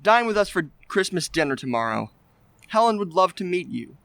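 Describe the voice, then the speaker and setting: Man acting a lively, high-voiced cheerful nephew. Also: normal speed, gravelly, no room sound, exaggerated voice. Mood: warm, earnest. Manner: earnest, pleading, upbeat. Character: cheerful, warm-hearted nephew of a miser. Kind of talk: old-time radio play acting.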